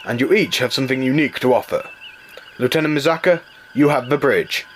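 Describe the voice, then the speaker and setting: A man's voice: mid-range voice, brisk, a little hiss or hum, natural voice. A man playing a composed, grave rabbit starship captain in a space-adventure audio drama. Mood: commanding.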